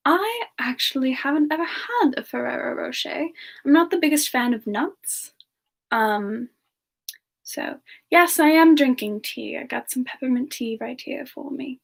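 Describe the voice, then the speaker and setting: Woman acting as gentle young female demon, sweet and chatty, semi-ASMR stream style, higher pitch, very unhurried, hesitant pauses. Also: animated, vocal fry, slightly rough, heavily acted. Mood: gentle, friendly. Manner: gentle, hesitant, pausing often mid-sentence. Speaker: host of a live Q&A stream. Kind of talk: semi-ASMR, casual in-character chat with viewers.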